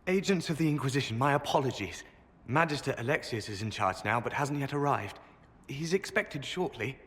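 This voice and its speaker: A man's voice: medium pitch, expressive, brisk, fairly bright tone, big-room sound, lightly acted. A man playing a polite, apologetic mage envoy in a video game, speaking formally and deferentially.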